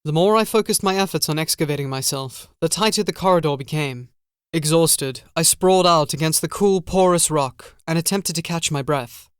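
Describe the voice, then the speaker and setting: A man narrating a first-person horror audiobook in a measured, literary, brooding manner. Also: fairly high pitch, clean tone, no echo, studio quality.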